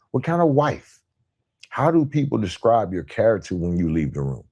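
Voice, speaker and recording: A man with a fairly low voice delivers a motivational monologue as a podcast host. His delivery is deliberate and emphatic, like a prepared speech, and he often repeats a phrase to press it on the listener. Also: dark tone. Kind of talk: motivational monologue, part scripted.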